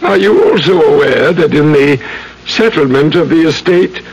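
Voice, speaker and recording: An actor playing a haughty, scheming heir in a radio drama, with a formal, curt way of talking. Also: male voice, fairly high pitch, expressive, moderate pace, darkish tone, husky, dull audio. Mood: calculating. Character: haughty, scheming male heir of a landed family.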